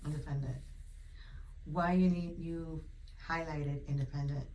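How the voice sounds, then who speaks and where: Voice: medium pitch, fairly even intonation, measured pace, a little mellow. An interviewer asking short, casual questions with brief backchannels. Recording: echoey room with faint noise.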